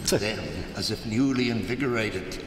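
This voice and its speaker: An older man playing an aged, gloating murderer who recounts his crime in halting, savoring narration for a live radio play. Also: on the low side, lightly acted. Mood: sardonic recounting. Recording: echoey hall, live stage, clearly noisy.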